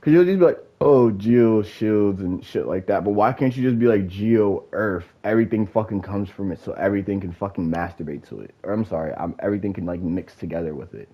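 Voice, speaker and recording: A man's voice: lowish voice, fairly quick, few pauses, lo-fi sound. A man with a nasal, congested voice ranting casually about a game, profane and rambling with lots of fillers. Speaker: gamer giving opinions. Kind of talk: casual rant.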